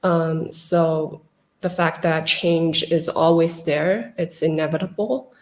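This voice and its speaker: A woman's voice: deep voice, fairly even intonation, takes it slowly, darkish tone, poor audio. A woman filmmaker at a festival Q&A, musing hesitantly and searching for words with many fillers. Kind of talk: reflective panel answer. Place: festival screening venue.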